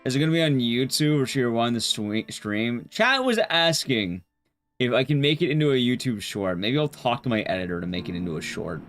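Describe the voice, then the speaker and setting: A man with a mid-pitched voice that swings up and down in a lively way, talking to his stream chat over music. He rambles through his game commentary, backing up and restarting thoughts in a loose, casual manner. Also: a little fast. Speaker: livestreamer.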